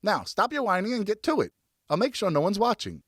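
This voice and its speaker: Man voicing a bossy, high-pitched, excitable boy. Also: brisk, husky, dry recording, clean studio sound, full character voice. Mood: bossy, cocky. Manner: commanding, exclamatory, cocky. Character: bossy, excitable older boy, scheming ringleader at play. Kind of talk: audiobook character voice.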